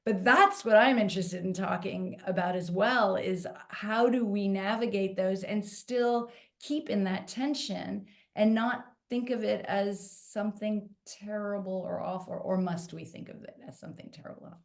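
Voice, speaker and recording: Gravelly-voiced woman, frank and blunt. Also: mid-range voice, normal speed, hardly any pauses. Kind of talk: casual panel discussion.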